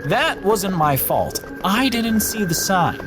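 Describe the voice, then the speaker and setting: A man's voice: sing-song, slow pace, heavily acted. An actor playing a brash, cocky young man sneaking onto a government base, shrugging off any worry. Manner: brash, dismissive, jumpy pitch. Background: music.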